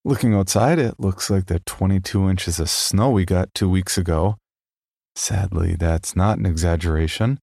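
A man's voice: low voice, dark tone, no room sound, studio quality. A man hosting a podcast, giving conversational announcements straight to his listeners. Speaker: podcast host.

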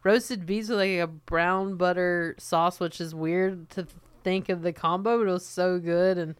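A woman's voice: lowish voice, fairly even intonation, unhurried, clear, resonant tone, no room sound. A woman co-hosting a podcast, telling a story in casual chat with trailing restarts. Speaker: podcast co-host.

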